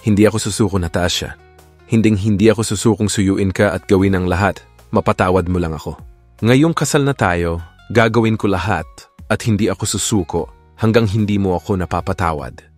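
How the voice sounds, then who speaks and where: A man playing a remorseful young husband who quietly begs his wife's forgiveness, speaking softly and slipping into English. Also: sits low. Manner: soft, pleading, mixes English into Tagalog. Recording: background music under the voice.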